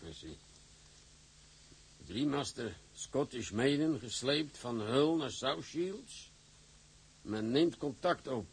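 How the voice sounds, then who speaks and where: An actor playing a brisk, self-assured shipping boss with a husky voice in a period drama. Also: male voice, mid-range voice, expressive, heavily acted. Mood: businesslike.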